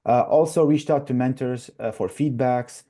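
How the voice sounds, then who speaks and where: A young man from Iraq talks through his story in an earnest, thoughtful way, often pausing with "uh" and "like." His voice is mellow and warm, at an ordinary middle pitch. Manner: earnest, many "uh" and "like" fillers. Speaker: guest, newly matched resident. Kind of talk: interview answers.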